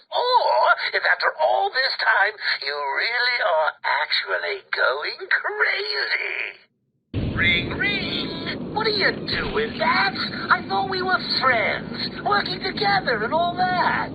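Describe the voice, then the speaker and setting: An actor playing a cackling, unhinged male clown villain who taunts his nemesis in a theatrical, mocking way, swinging between whispers and shouts. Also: high voice, heavily acted, low-quality recording. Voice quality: gravelly, with manic laughter. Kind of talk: video game voice acting.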